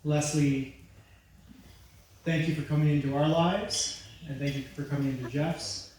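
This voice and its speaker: A man giving a heartfelt wedding toast to a family member, slow and halting with frequent pauses. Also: on the high side, fairly even intonation, a little mellow. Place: wedding reception venue. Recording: echoey room with faint background noise.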